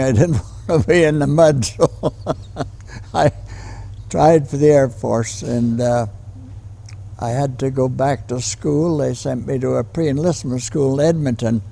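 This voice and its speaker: Very creaky centenarian Canadian man. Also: mid-range voice, dark tone. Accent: western Canadian. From Calgary, western Canada. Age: 100. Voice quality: gravelly, creaky. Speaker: war veteran interviewee. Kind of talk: personal reminiscence in an interview.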